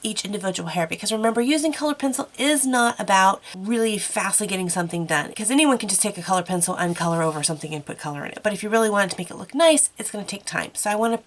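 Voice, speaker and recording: A woman's voice: medium pitch, rapid-fire, hardly any pauses. A woman hosting an art tutorial, chatty and encouraging with lots of fillers, her nose stuffy from a cold. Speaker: art channel host.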